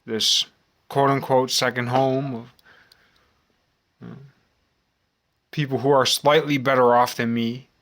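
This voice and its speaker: American man, forties, mid-pitched voice. Rambling vlog monologue, slow and halting, musing, circles back on phrases. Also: fairly bright tone. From United States. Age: 40s. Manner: halting, musing, repeats phrases with long pauses. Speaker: vlogger.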